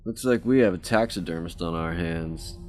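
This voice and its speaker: A cocky, wisecracking detective, played by a man, casual and quick with a joke. His voice sits low. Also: music in the background, close to an everyday voice. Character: young male detective, cocky ex-undercover cop.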